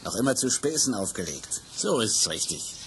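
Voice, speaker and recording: An actor playing a kindly father who is an actor and theatre director, talking in a calm, reassuring way in a vintage radio play. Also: lowish voice, normal speed, a little hiss or hum.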